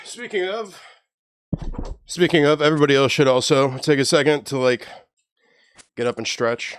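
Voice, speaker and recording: A young man with a low, even voice, chatting casually and swearing freely with his live stream chat. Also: moderate pace, clear, resonant tone, no echo. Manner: laid-back, profane, joking with chat.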